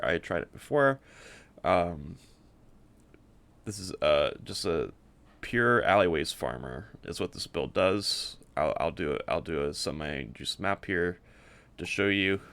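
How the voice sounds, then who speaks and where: Deep-voiced man, rambling casual game walkthrough. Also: vocal fry, a bit bright. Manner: rambling, halting, often repeating words. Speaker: game streamer presenting a character build.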